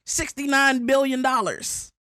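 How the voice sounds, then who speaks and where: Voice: high voice, measured pace, sharp tone, no room sound. Podcast panelist, dry close recording, informal profane banter.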